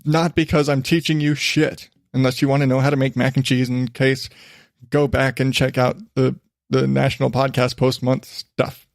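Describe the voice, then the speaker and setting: A man's voice: medium pitch, flat delivery, normal speed, gravelly, no echo, clean studio sound. A man hosting a rambling solo podcast, chatty and self-deprecating, drifting from one digression to the next. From western United States. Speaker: podcast host. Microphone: studio microphone.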